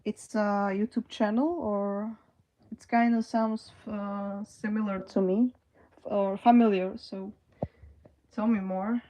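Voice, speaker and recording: Slow, hesitant woman streamer. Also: medium pitch, flat delivery, mellow. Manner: hesitant, many fillers. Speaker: livestreamer. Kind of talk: live stream, reading and answering chat.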